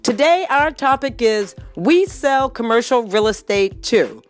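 A woman host reading a scripted introduction to her show in a measured, formal way. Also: a little high, lively intonation, soft background music. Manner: measured, formal read delivery.

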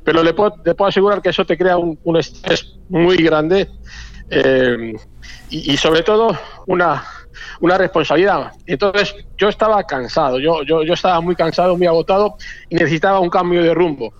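A man in his forties from Madrid with a Castilian Spanish accent, a hotel owner giving a chatty, halting radio interview full of repeated words. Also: fairly high pitch. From Madrid, Spain. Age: 40s. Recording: dull lo-fi radio audio.

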